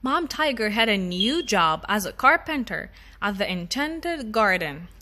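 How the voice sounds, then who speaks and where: A woman reading a children's storybook aloud in an expressive style as the storytime reader. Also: mid-range voice, unhurried.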